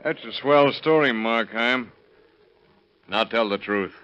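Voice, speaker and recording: An actor playing a calm, skeptical police detective, firm and dry, in 1930s radio drama style. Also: male voice, mid-range voice, slow, smooth. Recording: muffled vintage radio recording.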